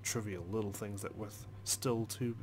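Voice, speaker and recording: A man reading first-person game narration aloud as a let's play host, expressive, with dramatic, deliberate phrasing. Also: lowish voice, a little hiss or hum. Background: music.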